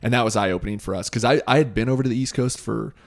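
A man's voice: mid-range voice, rapid-fire, gravelly. A man hosting a podcast in casual chat, friendly, often chiming in with "yeah". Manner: friendly, backchannels constantly with "yeah". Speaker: podcast host.

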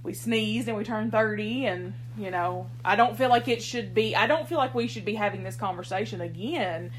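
A woman's voice: mid-range voice, normal speed. Woman in her 30s co-hosting a podcast, chatty and rambly, laughs at herself, little bit of background hum. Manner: chatty, rambling, self-deprecating. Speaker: podcast co-host. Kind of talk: casual chat.

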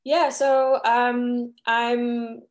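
A woman's voice: a little high, flat delivery, drawn-out words. A young woman from Miami, a singer-songwriter, chatting casually as a guest with lots of "um" and "you know". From Miami, Florida. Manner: chatty, many fillers and restarts. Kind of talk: casual interview answers.

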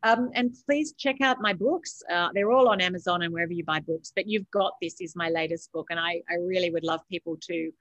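A woman's voice: on the low side, brisk, few pauses, fairly bright tone. Aussie woman coach on a podcast, motivational and emphatic, no room echo. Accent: Australian. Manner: emphatic, encouraging, repeats phrases for effect. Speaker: podcast guest, author and coach. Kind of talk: motivational interview conversation.

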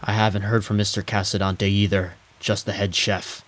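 A man playing a dutiful police captain in an audio drama, deferential and measured in manner. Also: lowish voice, little pitch movement, lightly acted. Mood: puzzled, defensive. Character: dutiful, composed male police captain serving mobsters. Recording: music and rain under the voice.

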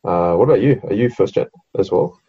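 A man's voice: on the low side, expressive, brisk, warm tone, slightly rough, dull audio. A young Australian man with an Australian accent, co-hosting a podcast in a laid-back way, with lots of ums and casual swearing. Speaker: podcast co-host. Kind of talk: casual chat.

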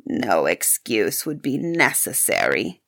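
A woman's voice: extremely low pitch, big pitch swings, takes it slowly, creaky, fairly bright tone, pitched below the performer's own voice, exaggerated voice. A woman voicing a frightful, angry male beast in a storybook, stern and commanding in manner. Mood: grim, commanding. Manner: stern, menacing, grim. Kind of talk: storybook character voice.

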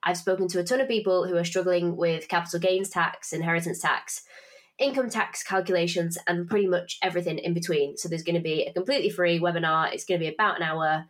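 A woman's voice: on the low side, fairly even intonation, fast talker. A young woman in her twenties, a podcast host, talking in a chatty, swearing, self-mocking way while congested from hay fever. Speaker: podcast host and finance tutor. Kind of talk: casual podcast chat with promotion.

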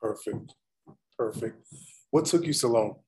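A man's voice: medium pitch, expressive, a little slow, darkish tone. A man in a casual chat, asking curious questions. He often stops and restarts his sentences as he works out what he wants to say. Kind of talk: casual conversation.

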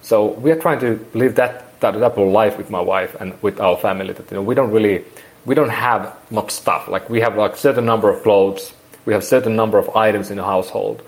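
A man's voice: fairly low pitch, steady tone, brisk. A man in his forties from Finland with a Finnish accent, speaking English as a second language and rambling through an interview with many restarts. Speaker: guest. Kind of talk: casual interview.

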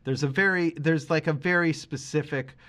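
A man's voice: on the high side, fairly even intonation, normal speed, a bit bright. A man in his forties co-hosting a podcast, talking about music in a casual, rambling, emphatic and opinionated way. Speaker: podcast host. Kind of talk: casual conversational music discussion.

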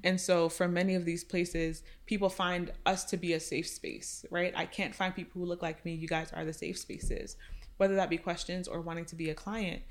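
A woman's voice: lowish voice, little pitch movement, moderate pace. A woman who works as a counselor, talking earnestly and reflectively in a casual podcast discussion, often checking in with "right?". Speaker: counselor specializing in racial identity.

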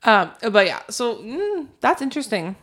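A woman's voice: on the high side, big pitch swings, normal speed, fairly bright tone. A young woman co-hosting a podcast in casual chat, giggling often and filling her talk with "like". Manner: giggly, says "like" a lot. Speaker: podcast co-host.

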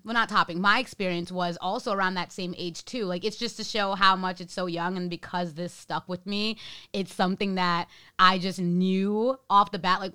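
A woman hosting a casual chat, blunt and expressive, swearing freely. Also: medium pitch, clean tone.

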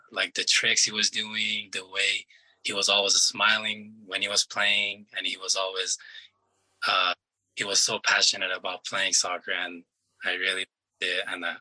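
Young man from Iran, low voice with a very bright edge, plain-spoken soccer player, halting answers. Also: low-quality recording. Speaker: soccer player being interviewed. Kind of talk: casual interview answers.

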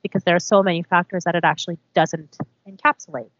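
A woman's voice: lowish voice, fairly even intonation, quick pace, no echo. A woman whose first language is Korean, co-hosting a casual podcast discussion and talking in a thoughtful, hedging way. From South Korea. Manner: thoughtful, hedging, lots of "I think" and "like". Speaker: podcast co-host. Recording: dull lo-fi sound.

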